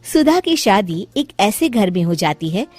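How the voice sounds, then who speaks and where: A woman storyteller narrates an animated moral tale at a measured pace. Her delivery is expressive and scripted, with faint music underneath. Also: medium pitch.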